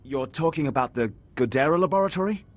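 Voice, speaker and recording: An actor playing a brisk, alert imperial communications officer in a video game, with a military manner. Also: male voice, mid-range voice, lively intonation, close to an everyday voice. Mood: brisk, formal.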